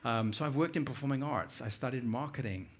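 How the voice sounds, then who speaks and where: A man's voice: medium pitch, brisk. A middle-aged man with a British accent speaks as a guest at a conference, presenting informally and conversationally with personal anecdotes and questions to his listeners. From United Kingdom, born in Uganda.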